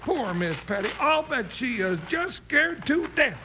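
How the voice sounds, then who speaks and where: Very high, excitable cowboy sidekick, comic Western drawl, noisy old radio western recording. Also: male voice, measured pace, heavily acted. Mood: worried, indignant. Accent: American Western. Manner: blustery, exclamatory, drawling. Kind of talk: 1950s radio western acting.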